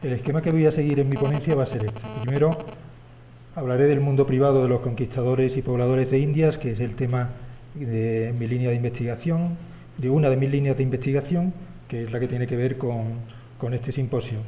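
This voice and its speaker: A man with a Spanish accent delivers an academic lecture in a conference hall, his mellow, warm voice moving at a measured pace. He pauses often on fillers and checks in with tag questions. A faint background hiss sits under the recording. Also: medium pitch, fairly even intonation. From Spain. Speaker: historian giving a conference talk.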